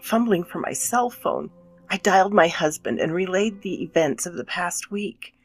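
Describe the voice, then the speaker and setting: Woman acting low-voiced anxious mother, dramatic storyteller. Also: animated, normal speed. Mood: confessional, uneasy. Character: anxious, guilt-ridden mother confessing a creepy story. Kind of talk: dramatic scripted horror narration.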